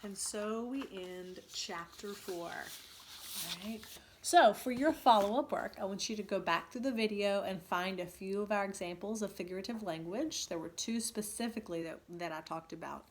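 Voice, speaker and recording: A woman teacher reading a story aloud expressively to students, pausing to ask them questions. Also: mid-range voice, slight background noise.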